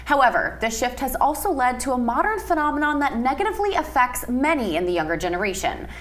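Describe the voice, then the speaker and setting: A woman's voice: high-pitched, very animated, fast, fairly bright tone. A woman hosting a broadcast news interview, speaking as a TV anchor to a guest.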